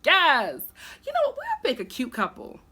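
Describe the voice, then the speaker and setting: A woman recapping a reality show in a casual, chatty vlog, animated and quick to laugh, still getting over the flu. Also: sits high. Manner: animated, laughs often, sassy asides. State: recovering from flu.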